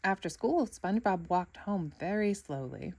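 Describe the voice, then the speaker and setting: Expressive woman reading a children's storybook. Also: medium pitch, moderate pace, slightly rough. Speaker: storybook reader. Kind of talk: children's read-aloud narration.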